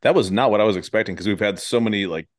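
Low-voiced, sweary podcast guy. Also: rapid-fire. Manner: irreverent, sweary. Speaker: podcast host. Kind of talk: casual chat interview.

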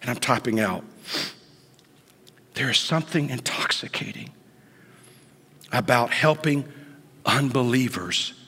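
A man in his late fifties preaches slowly and deliberately, his voice swinging widely from whispered asides to high, ringing peaks. The tone is husky and a little breathy. The sound carries a long church-hall reverb. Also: animated. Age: 50s. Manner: swings from hushed whispers to sudden high outbursts. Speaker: pastor. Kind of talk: sermon. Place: church sanctuary.

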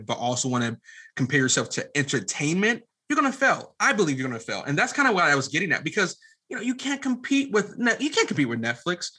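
Podcast guest, male, late twenties. Bright, punchy delivery, conversational and emphatic, with frequent restarts and repeated words. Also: mid-range voice, husky. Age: late 20s. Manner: halting, repeats phrases and restarts often, emphatic. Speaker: podcast guest, media app founder. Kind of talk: conversational interview answer, explaining a venture.

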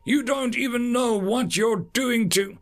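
A man's voice: extremely high pitch, measured pace, pitched above the performer's own voice. A man voicing Chorus, a distraught, preachy male alien with tentacles, in a storybook reading. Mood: lecturing. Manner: anguished, lecturing.

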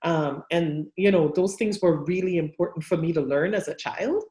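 A woman's voice: fairly low pitch, normal speed, mellow, reverberant. A woman from Guyana, a guest herbalist and spiritual healer, telling her story thoughtfully and unhurriedly with frequent ums. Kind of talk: relaxed interview storytelling.

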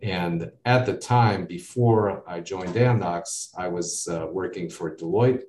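A man's voice: low-pitched, slow pace, darkish tone. A man from the United States with an American accent, chatting as an interview guest in a rambling way full of fillers and asides. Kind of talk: casual interview talk. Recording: echoey room, distant mic.

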